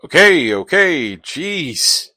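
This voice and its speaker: Man acting wry, lively, sardonic best friend. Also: on the low side, a little slow, no echo, full character voice. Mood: resigned, casual. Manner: dry, wisecracking. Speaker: actor in a radio comedy play. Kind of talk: scripted radio play comedy dialogue.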